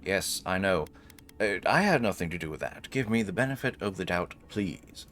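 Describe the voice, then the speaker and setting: A man's voice: sits low, animated, moderate pace, a bit bright, slight background noise. A man giving casual, sardonic, rambling gameplay commentary, with some swearing. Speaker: gaming video host.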